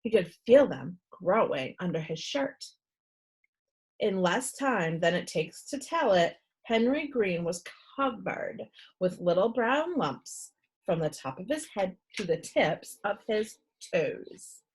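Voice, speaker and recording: Slow, animated woman reading a storybook. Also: medium pitch, slightly rough. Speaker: read-aloud host and storyteller. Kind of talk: expressive storybook read-aloud for children.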